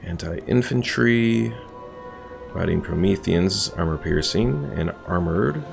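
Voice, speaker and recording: A man's voice: sits low, expressive, slow, creaky, faint music behind. A man casually chatting with viewers while streaming a strategy game, laid-back and rambling. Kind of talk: casual live stream commentary.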